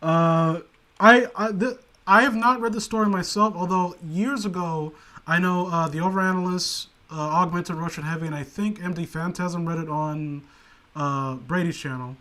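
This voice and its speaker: A man with a notably high voice hosts a casual group chat. He rants and jokes in animated banter, swearing freely as he goes. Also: moderate pace. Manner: profane, joking, animated banter. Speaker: host of a story reading stream.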